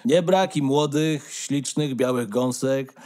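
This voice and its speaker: An actor playing a conceited young gander, chummy and gushing, in a fairy-tale radio play. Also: male voice, mid-range voice, slow pace, dark tone, natural voice. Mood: cocky, gushing. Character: cocky young male gander, chatty and patronising.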